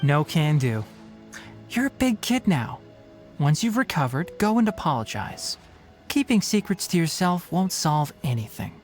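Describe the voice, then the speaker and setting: A man voicing a brisk, no-nonsense young forest watcher and healer in a video game. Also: a little high, big pitch swings, faint music behind. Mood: firm, brisk.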